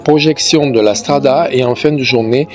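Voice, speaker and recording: A man's voice: mid-range voice, normal speed, a little mellow. A man hosting, recapping an event in a listing manner with frequent fillers. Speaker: host and speaker. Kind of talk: interview and event summary.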